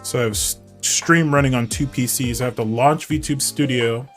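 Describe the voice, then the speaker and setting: Man, nasal voice, casual streamer chatter, mid pitch, soft music underneath. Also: normal speed. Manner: rambling, talks to himself and greets chat. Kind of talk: casual live-stream chat while setting up.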